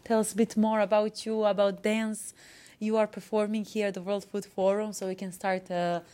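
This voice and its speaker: A woman's voice: medium pitch, level tone, moderate pace, mellow. A woman hosting a podcast, reading a scripted opening and then asking questions in a polite, formal way. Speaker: podcast host.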